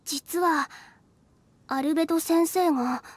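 A woman's voice: very high voice, takes it slowly, no room sound. A woman voicing a shy, earnest young female alchemist in an anime game, slightly flustered. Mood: earnest, intrigued. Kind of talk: Japanese anime game voice acting. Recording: game music behind.

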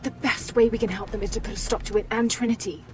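A woman's voice: fairly even intonation, fast talker, close to an everyday voice. An actress playing a determined young British woman adventurer in a video game cutscene, earnest and intent. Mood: focused, thoughtful. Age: young adult. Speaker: lead adventurer character. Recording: music and vehicle rumble behind. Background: music, aircraft cabin rumble.

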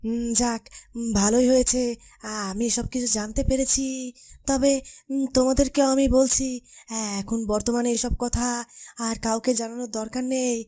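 A woman's voice: a little high, fairly even intonation, mellow, close to an everyday voice. A woman playing a hesitant royal astrologer in a cartoon, stammering and humming between phrases. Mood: grave, thoughtful. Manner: halting, stammering, punctuated with hums. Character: hesitant, puzzled royal astrologer of a fairy-tale kingdom. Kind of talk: cartoon voice acting.

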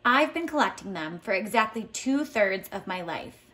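A woman's voice: mid-range voice, expressive, normal speed, pushed and bright. Woman reading a children's book aloud. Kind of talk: expressive children's read-aloud with lesson prompts. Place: indoors at home, tiled floor.

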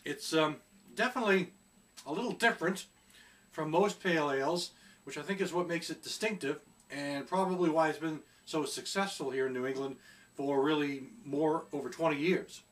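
A middle-aged man reviewing a beer at home in a casual, chatty way, often pausing on fillers as he searches for words. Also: a little high, far from the mic. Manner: chatty, hesitant with many fillers. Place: small room at home, seated indoors.

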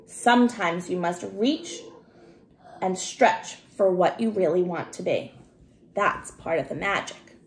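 A middle-aged woman reading a children's story aloud expressively, with deliberate, emphatic phrasing. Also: medium pitch. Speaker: storybook reader. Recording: roomy home recording with noticeable hiss.

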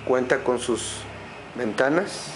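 A man, at an ordinary middle pitch, talks slowly through an empty indoor home and lists each feature in set phrases. His voice is picked up by a handheld camera mic. Faint noise sits behind it. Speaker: home sales guide. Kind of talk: walkthrough narration.

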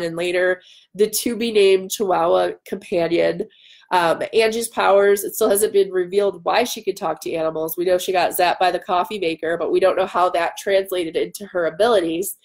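A woman in her thirties talks casually to viewers on a livestream. She is chatty and enthusiastic, at a middle pitch, and happy to poke fun at herself. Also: vocal fry. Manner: chatty, enthusiastic, self-deprecating. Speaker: author hosting a livestream. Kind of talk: casual livestream chat answering viewer comments. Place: indoor room at home by a window.

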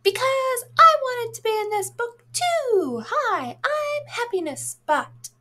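Woman putting on a high, bubbly little storybook creature. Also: sing-song, takes it slowly, sharp tone, exaggerated voice. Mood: excited. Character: cheerful, eager little happiness spot creature.

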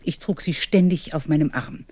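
Older grandma narrator remembering childhood, radio play, dry close sound. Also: female voice, low-pitched, moderate pace, dark tone, no room sound. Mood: reminiscing. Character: grown woman recalling her childhood, reflective grandmother.

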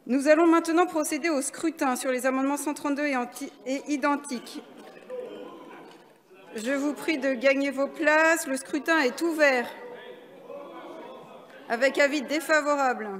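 A woman's voice: high-pitched, steady tone, moderate pace, pauses often. A woman in her thirties chairing a parliamentary session with formal procedural announcements. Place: large parliamentary chamber. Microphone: podium microphone. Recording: long hall reverb.

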